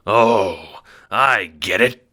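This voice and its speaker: A man's voice: lowish voice, drawn-out words, sharp tone, voice pushed up, full character voice. A man voicing a burly, menacing bookie in an audio drama, bellowing and sneering as he bullies. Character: burly male thug bookie, menacing bully.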